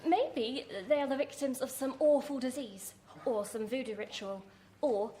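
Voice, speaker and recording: A woman's voice: sits high, moderate pace, warm tone, natural voice. An actor playing a cheery, naive, dithering young British woman, chirpy and hesitant, in a classic horror radio play. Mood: excited. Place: theatre venue in front of an audience. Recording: faintly noisy live stage recording.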